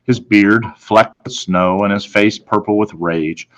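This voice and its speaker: A man with a fairly low voice reads a children's novel aloud. His delivery is expressive and dramatic, with deliberate phrasing suited to storytelling. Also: muffled recording. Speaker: story reader, teacher.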